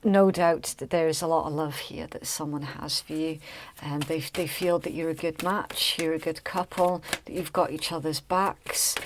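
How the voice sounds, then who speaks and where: A woman with a slightly raspy voice, chatting casually through a tarot reading with many ums and restarts. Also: fairly low pitch, slight background noise. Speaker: tarot reader.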